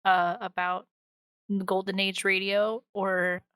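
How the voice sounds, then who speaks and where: A woman with a bright, crisp voice at an average pitch chats as a podcast host. She is effusive and informal, wandering through her thoughts. The recording sounds dry. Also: little pitch movement, slow, no room sound. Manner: gushing, informal, rambling. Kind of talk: casual podcast chat.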